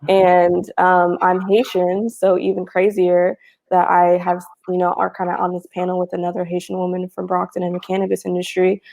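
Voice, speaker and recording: Creaky, mellow-voiced young woman. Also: on the low side, monotone, normal speed. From Houston, Texas. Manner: chatty, frequent fillers. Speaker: cannabis marketing panelist. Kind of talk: casual panel chat.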